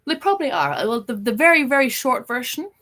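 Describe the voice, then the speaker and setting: A middle-aged Irish woman, a journalist and writer, chatting as a podcast guest and telling her story in an animated way. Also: sits high. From Ireland. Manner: animated storytelling, quick and lively. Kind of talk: casual interview chat.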